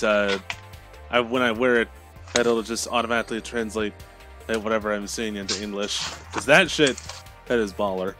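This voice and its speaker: A young man in casual banter on a game stream voice chat, talking haltingly and swearing freely. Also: on the low side. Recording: music behind the voice. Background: music.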